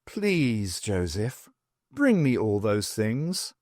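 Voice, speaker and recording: A man's voice: on the low side, very animated, extremely slow, a little mellow, no echo, clean studio sound, voice pushed down, heavily acted. A man voicing a bleary, sardonic female college rector in an audiobook, with a weary, informal manner. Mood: bleary, grumpy. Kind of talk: audiobook character voice.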